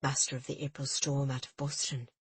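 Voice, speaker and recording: A woman voicing a calm, smooth-talking Englishman. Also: medium pitch, fairly quick, no room sound, voice pushed down. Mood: calm, measured. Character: calm, smooth-spoken male ship's officer.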